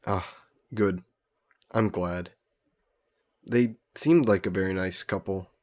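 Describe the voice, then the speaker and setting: A man playing an old aristocratic vampire in a romantic audio roleplay, speaking in formal, genteel, measured phrases. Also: deep voice, darkish tone. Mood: polite, reflective. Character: ancient aristocratic male vampire, courtly, protective, gently teasing.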